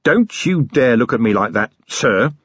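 A man voicing a gruff middle-aged police commander who talks bluntly and sullenly. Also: mid-range voice, animated, unhurried, no echo, highs cut off, pitched above the performer's own voice.

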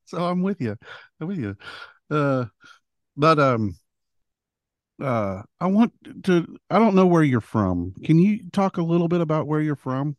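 A man's voice: on the high side, big pitch swings, unhurried, pauses often, no echo, lo-fi sound. A man hosting a casual interview from his computer desk, speaking hesitantly with many fillers. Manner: hesitant, filler-heavy questions. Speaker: podcast host.

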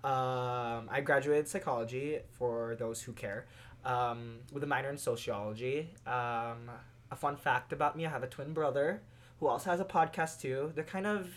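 A man in his twenties from the Philippines, co-hosting a casual podcast in a relaxed, friendly way with many fillers. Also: mid-range voice, normal speed. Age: 20s. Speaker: podcast co-host. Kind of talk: casual chat.

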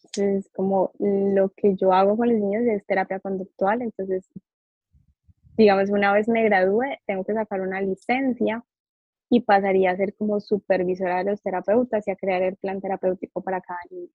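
A woman's voice: medium pitch, normal speed, dry recording. Woman speaking over a tinny line. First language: Spanish. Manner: animated, acts out quoted dialogue. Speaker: psychologist and behavioral therapist guest. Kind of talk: casual interview storytelling.

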